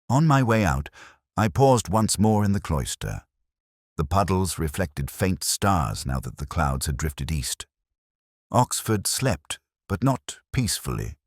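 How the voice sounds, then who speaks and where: A man reading a first-person detective story as narrator, in a measured, brooding, reflective way, close to a studio mic. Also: rumbling low, slightly rough, no room sound.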